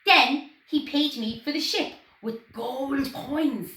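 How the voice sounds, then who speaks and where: A woman voicing a kindly, calm uncle in a children's story, explaining gently and deliberately. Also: high-pitched. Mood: reassuring. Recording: roomy echo, background noise.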